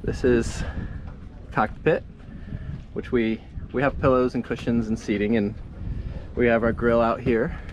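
A man's voice: mid-range voice, little pitch movement, a little slow, long pauses. A man in his forties chatting casually to an action camera held at arm's length, giving an upbeat walkthrough with plenty of fillers. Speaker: sailing vlogger. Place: outdoors by harbor and on small sailboat. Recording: wind noise on camera mic.

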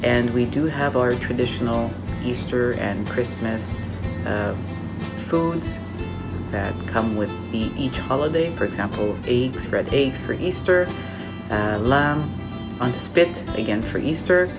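A middle-aged woman from Canada telling about her family life hesitantly, with many pauses, in a small office. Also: very deep voice, over loud music. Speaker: interviewee. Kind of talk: casual personal account.

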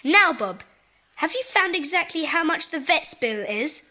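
A woman's voice: high voice, expressive, sharp tone, full character voice. A woman playing a confident, kindly British schoolboy who leads a club in a children's audio drama. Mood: confident, encouraging. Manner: brisk, encouraging, in charge.

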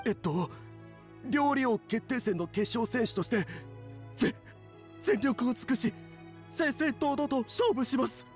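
A man's voice: very high voice, expressive, unhurried, pauses often, soft background music. A man voicing a nervous, timid but earnest young male chef in a Japanese anime game.

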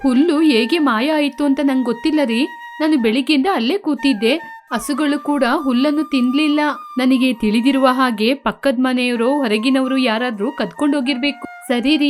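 A woman's voice: high voice, moderate pace, faint music behind, close to an everyday voice. A woman playing a kind, devout and anxious village wife in an acted moral story, speaking in a caring, pleading way.

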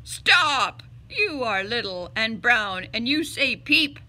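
A woman voicing a bossy, indignant crow in a children's storybook, loud and stern as it scolds. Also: on the high side, sing-song, slow pace, full character voice. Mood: scolding.

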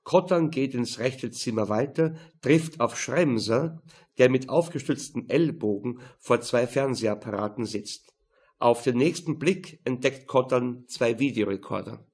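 A male narrator reads a crime novel for an audiobook. His voice sits in a middle register and has a husky, unpolished grain. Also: mid-range voice, a little slow, a little mellow.

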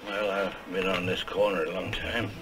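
A man's voice: sits low, little pitch movement, normal speed, over music, lightly acted. An actor playing a worried newspaper seller in his sixties in a 1960s television detective drama. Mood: plain. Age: 60s. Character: worried sixty-one-year-old male newspaper seller.

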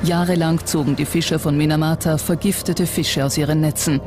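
A documentary narrator reading a script in a measured, serious manner. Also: medium pitch, fairly even intonation. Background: music under the voice.

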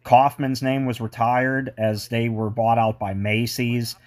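A man's voice: mid-range voice, little pitch movement, measured pace, a little mellow. A man giving an enthusiastic walking tour of a mall, mixing its history with chatty asides. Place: indoor shopping mall.

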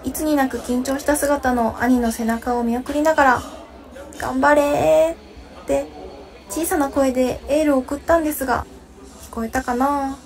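A woman's voice: high voice, fairly even intonation, moderate pace, a little mellow. A young woman playing a caring younger sister, giving scripted narration in an audio drama in a friendly way. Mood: fond, hopeful.

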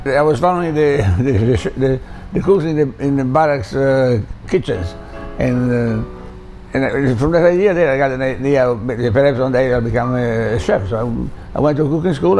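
An older man with an Italian accent talks in a gravelly, dark voice. He tells stories with animation, restarts often and slips into Italian words. He is a chef being interviewed in a bright room. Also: mid-range voice, normal speed, faint music behind, low-quality recording. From Italy. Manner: animated storyteller, repeats words, drops into Italian. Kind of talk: casual interview.

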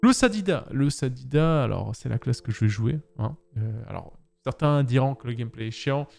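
A man's voice: mid-range voice, lively intonation, moderate pace, creaky, a little mellow. A man in his thirties who grew up in Switzerland, casually talking viewers through game classes from a home streaming setup, with filler words and direct address. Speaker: gaming content creator. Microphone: studio mic on boom arm. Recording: faint background music.

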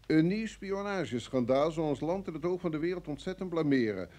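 An actor in a radio play playing a cool, coercive security service official, formal and smoothly insistent. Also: male voice, a little high, expressive, brisk, warm tone, close to an everyday voice. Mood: smooth, controlled.

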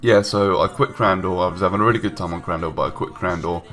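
A man's voice: low voice, fast talker, a bit bright, soft background music. A young man with a stuffy nose from a cold, giving casual, rambling voice-over commentary with many ums and restarts. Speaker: gaming YouTuber. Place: home room, recorded separately from gameplay.

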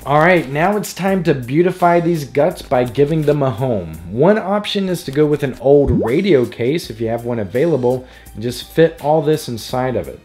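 A man's voice: fairly high pitch, animated, normal speed, few pauses, darkish tone. A man hosting a tutorial, reading scripted narration in a casual, explanatory way. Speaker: tutorial host. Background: background music.

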